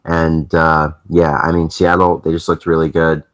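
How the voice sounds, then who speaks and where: A man's voice: a bass voice, completely flat delivery, moderate pace. A young man hosting a casual esports recap vlog, chatty and rambling with lots of fillers, his voice a little off from allergies. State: voice affected by allergies. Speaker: esports channel host.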